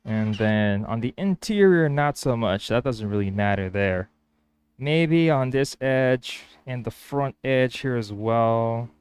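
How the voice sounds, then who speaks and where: A man's voice: mid-range voice, animated, slow pace, a little mellow, clear, resonant tone, no room sound. A man whose first language is Spanish, casually thinking aloud as he works on a woodworking build. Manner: thinking aloud, hesitant restarts. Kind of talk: casual workshop vlog narration.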